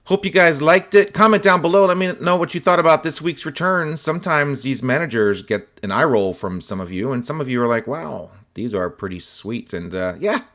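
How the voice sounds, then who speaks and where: A man with a slightly hoarse, scratchy voice, chatting casually as host while showing autographed sports cards. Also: fairly high pitch, brisk, hardly any pauses. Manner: relaxed, chatty, many fillers and asides. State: slightly hoarse, voice partly lost. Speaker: host of a card-collecting channel.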